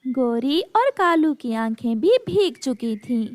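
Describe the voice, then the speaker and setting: Female storyteller for children's tales: high voice, slow scripted delivery, dry studio-like sound. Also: big pitch swings, darkish tone, dry recording. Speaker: narrator.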